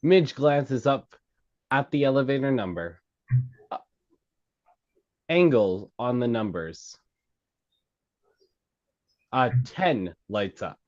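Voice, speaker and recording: Slow, animated man reading stage directions, dull sound. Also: medium pitch. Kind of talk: scripted narration, present-tense screenplay directions.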